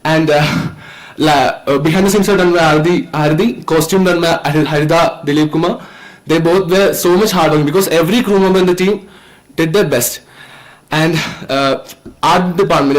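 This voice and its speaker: A nineteen-year-old man with an Indian accent gives an earnest, halting, informal thank-you speech as a student filmmaker. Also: a little high, low-quality recording. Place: event stage at a film festival.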